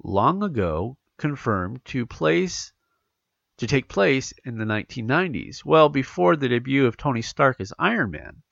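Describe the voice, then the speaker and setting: Stuffy-nosed podcast guy rambling along, gravelly voice, dull dry recording. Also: mid-range voice, measured pace, no room sound. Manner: rambling, animated, many fillers and restarts. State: congested from allergies. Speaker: podcast host. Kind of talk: casual podcast chat, reading news aloud.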